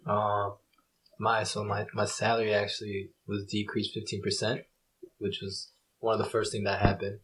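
A man in his twenties, a professional soccer player, chatting casually as a guest, relaxed and swearing freely. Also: lowish voice, moderate pace, background noise. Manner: relaxed, swearing, animated when retelling. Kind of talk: casual chat.

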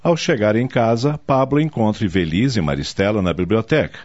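A man's voice: on the low side, expressive, a little fast, a little mellow. A man narrating a radio soap opera in a dramatic, scripted style. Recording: muffled audio with music behind.

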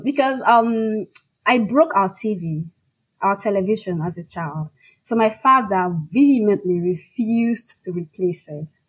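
Woman with Nigerian accent, Igbo native, husky mid-range voice, emphatic conversational delivery of an interviewed author. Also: medium pitch, a little mellow, poor audio. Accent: Nigerian Igbo-language. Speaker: fiction writer being interviewed. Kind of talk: conversational, reflective interview answers.

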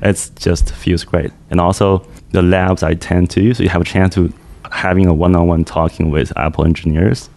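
A man's voice: deep voice, flat delivery, moderate pace, darkish tone. A man from China, a guest developer, chatting loosely in an interview with frequent fillers. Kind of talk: casual interview chat. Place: conference venue.

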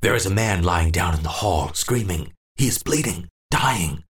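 A man's voice: low-pitched, animated, normal speed. A man voicing a frightened, confused old man in a horror audiobook, muttering and repeating himself in first person. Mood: uneasy. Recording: roomy, distant echo.

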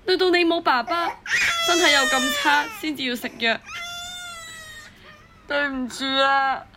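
A woman's voice: on the high side, heavy background noise, natural voice. An actress playing a devoted, vulnerable young single mother in a Hong Kong Cantonese audio drama, speaking in a heartfelt way. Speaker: voice actor. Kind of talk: audio drama acting with first-person narration.

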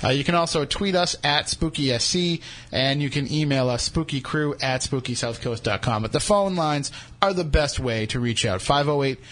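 A man's voice: medium pitch, moderate pace, hardly any pauses, a bit bright. Male talk radio host from New England, chatty, jokey, studio sound. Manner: joking, chatty, teasing co-hosts. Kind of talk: casual radio banter.

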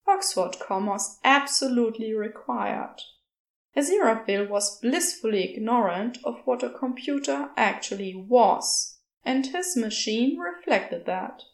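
A woman reading a story aloud as scripted fiction narration. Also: a little high. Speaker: podfic reader.

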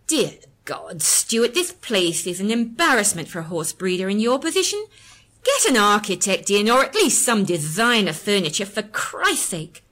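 Woman doing a bitter cursing widow, snarly, kinda low voice. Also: fairly even intonation, slow, few pauses, pushed and bright, slightly rough, heavily acted. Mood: scornful. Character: bitter, spiteful widow, middle-aged woman.

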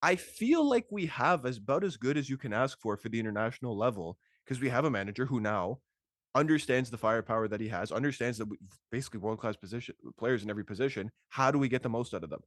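A young man chatting casually about football as a podcast host, excitable and slangy with emphatic repetition. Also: medium pitch, fast, no echo, studio quality. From Toronto, Canada. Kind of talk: casual sports chat.

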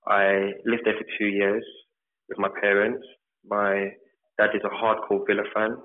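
A man from England with a London accent, a mortgage advisor answering interview questions in a relaxed way, chaining thoughts with lots of fillers. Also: on the low side, measured pace, slight background noise. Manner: relaxed, talks in long run-on chains with fillers. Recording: tinny phone-like line.

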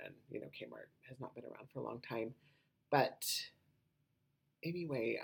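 Woman podcast host, low voice, husky, rambling casual talk. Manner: rambling, lots of "you know" and "um" asides.

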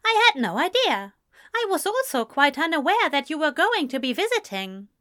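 A woman's voice: sits high, sing-song, moderate pace, fairly bright tone, pitched above the performer's own voice. A woman narrator voicing a formal, courteous and flustered Englishman who is eager to please in a story. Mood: surprised.

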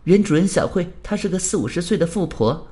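A man's voice: high voice, animated, brisk, dark tone, pitched above the performer's own voice. A man voicing a bold young female thief in an audio story, cold and defiant in manner. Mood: matter-of-fact.

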